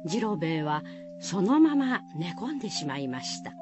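A woman storyteller giving an expressive, dramatic scripted narration of a folk tale for radio. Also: on the low side, moderate pace, noisy background.

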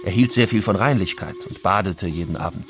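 Soldier chronicler, measured descriptive quotation, radio documentary, prominent background music. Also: male voice, sits low. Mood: admiring, measured. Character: male Spanish soldier chronicler recalling an admired ruler.

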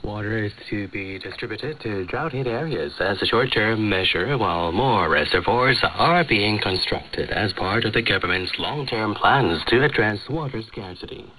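A man's voice: on the low side, animated, normal speed, few pauses, sharp tone, a little hiss or hum, poor audio. A man reading scripted news as a radio presenter on a shortwave broadcast. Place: radio studio, heard over shortwave.

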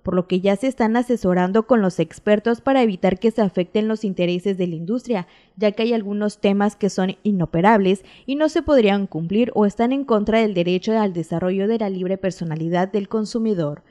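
Female news reporter, mellow tone, steady formal voice-over. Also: mid-range voice, fairly quick, few pauses. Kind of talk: scripted news narration.

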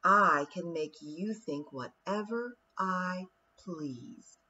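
A woman's voice: fairly low pitch, sharp tone, slightly rough, full character voice. A woman voicing a hissing, scornful fairy queen. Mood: menacing. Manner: slow, hissing, mocking. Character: cold, scheming fairy queen, menacing. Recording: dull lo-fi recording.

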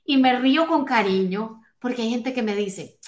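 A woman's voice: on the high side, normal speed. Emphatic motivational woman in an echoey room. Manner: emphatic, direct address with rhetorical questions and check-in tags. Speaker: motivational coach and speaker. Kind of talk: motivational talk to an online live audience. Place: small home office, indoors. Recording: roomy, echoing indoor sound.

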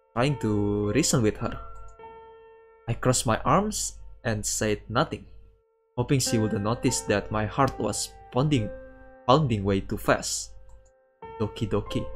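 A man streaming a game, reading the story text aloud in a halting way and adding his own commentary. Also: on the low side. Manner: halting, stumbles and repeats words while reading. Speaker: streamer playing a visual novel.

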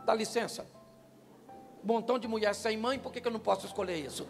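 A man's voice: high-pitched, fast, creaky. An older man with a Brazilian Portuguese accent, preaching a humorous talk to couples on a church stage with a handheld mic. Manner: joking, animated, asks the crowd questions. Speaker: pastor. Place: church stage, large hall. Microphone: handheld microphone. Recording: live church hall with long reverb and faint music.

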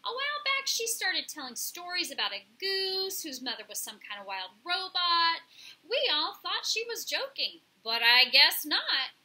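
A woman's voice: very high-pitched, sing-song, a little slow, pushed and bright, voice pushed up, exaggerated voice. A woman voicing a bold, streetwise female pigeon who leads her flock, talking in an emphatic, colloquial, bossy way in a children's storybook. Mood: excited.

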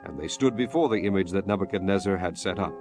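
A man narrating scripture from a script in a measured, formal manner. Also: deep voice, music in the background.

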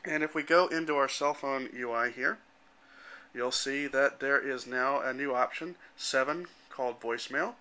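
A man in his thirties giving an informal, matter-of-fact demonstration as a software developer, explaining things step by step into a handheld camera. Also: mid-range voice, moderate pace. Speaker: software developer presenting a demo. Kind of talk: informal product demonstration narration. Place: indoor room at home. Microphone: handheld camera microphone.

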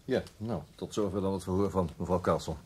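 Husky, inquisitive detective. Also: male voice, low-pitched, brisk, darkish tone. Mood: businesslike. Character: brisk, inquisitive police detective. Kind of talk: radio drama acting.

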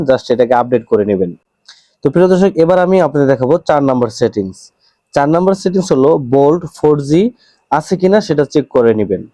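A man's voice: on the high side, warm tone, no echo, rough recording. A man with a Bangladeshi accent narrating a step-by-step phone tutorial, instructing viewers directly as the presenter.

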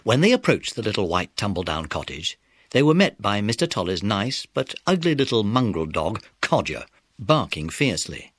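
A man's voice: lowish voice, big pitch swings, normal speed, a bit bright, slightly rough, dry recording, clean studio sound. A man narrating a children's audiobook as a scripted storyteller.

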